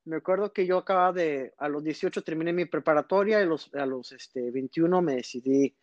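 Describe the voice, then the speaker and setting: Man, 30s, rambling storyteller, slightly high voice, muffled dry audio. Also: a little fast, no room sound. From born in Texas, raised in northern Mexico. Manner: rambling, storytelling, frequent fillers. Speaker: guest magician. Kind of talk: casual podcast interview.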